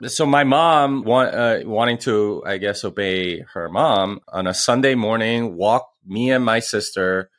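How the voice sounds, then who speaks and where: A man in his forties, born in Korea, talks as a podcast guest. His low voice has a forceful, bright edge, and it sounds dry and close. He speaks reflectively, stopping often to restart a thought. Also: no echo. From South Korea. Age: 40s. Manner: reflective, pausing with frequent restarts. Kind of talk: conversational interview.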